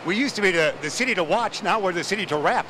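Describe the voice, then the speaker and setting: A man's voice: high voice, lively intonation, noisy background. A man hosting a city TV show, interviewing guests on location in a chatty, joking way. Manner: chatty, jokey, quick interjections.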